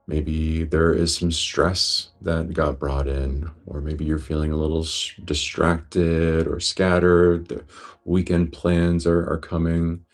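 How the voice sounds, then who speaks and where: A man guiding a breathwork meditation in a gentle, unhurried, reassuring manner. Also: a bass voice, little pitch movement, soft background music. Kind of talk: guided meditation instruction.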